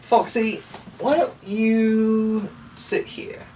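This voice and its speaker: A man's voice: high voice, fairly even intonation, very slow, a little mellow. A man hosting a casual vlog, rambling to his viewers in a muttering, distracted, mildly exasperated way. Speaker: vlog host. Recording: distant mic with faint background noise.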